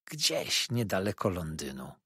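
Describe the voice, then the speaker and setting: Man as a meek, husky-voiced small boy. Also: low voice, sing-song, measured pace, fairly bright tone, no echo, studio quality, exaggerated voice. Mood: meek, polite. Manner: meek, hesitant, short replies. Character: timid, polite eight-year-old boy, easily impressed. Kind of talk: dramatised audiobook reading.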